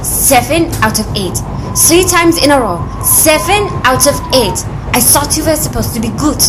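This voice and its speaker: Woman playing blunt, high-voiced inventor, loud background. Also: expressive, normal speed, heavy background noise. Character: blunt, self-assured young female inventor genius. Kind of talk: audio drama acting.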